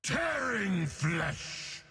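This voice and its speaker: A man playing a huge, wrathful bear storm god with a gravelly, commanding voice and slow, grandiose declarations, as in a video game. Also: high voice, sing-song, bright, forceful tone, exaggerated voice. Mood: thunderous wrath. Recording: long cavernous reverb.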